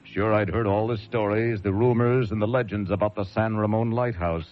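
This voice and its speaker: An actor playing a haunted, wry lighthouse keeper with an American accent, narrating an old radio thriller in an intense, theatrical way. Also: male voice, lowish voice, fairly even intonation, moderate pace, slight background noise, lightly acted. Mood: brooding, intense. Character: haunted, wry male lighthouse keeper recounting his ordeal. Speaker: narrator and lead character. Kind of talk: dramatic first-person radio thriller narration and acting. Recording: muffled vintage radio drama with music.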